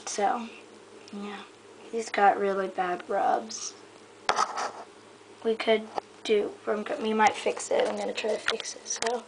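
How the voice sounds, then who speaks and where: A woman giving a casual, rambling show-and-tell in a hesitant, halting way that often trails off. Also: mid-range voice, level tone, vocal fry. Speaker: hobbyist giving a toy horse stable tour. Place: indoors in a room at home. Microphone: handheld camera held close by the speaker. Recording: noisy amateur home camera recording.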